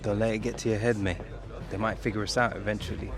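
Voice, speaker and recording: Man playing a low-voiced cocky young English footballer. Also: steady tone, quick pace, crowd noise, lightly acted. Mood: cocky, matey. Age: young adult. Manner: matey, slangy. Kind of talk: video game cutscene acting. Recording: music behind the voice.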